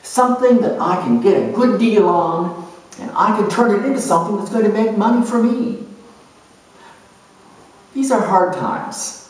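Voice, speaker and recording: A man performing a first-person character monologue in a deliberate, measured, theatrical way with emphatic phrasing. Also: high voice, lively intonation. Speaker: astrologer dramatizing zodiac character types. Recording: echoey room, distant microphone.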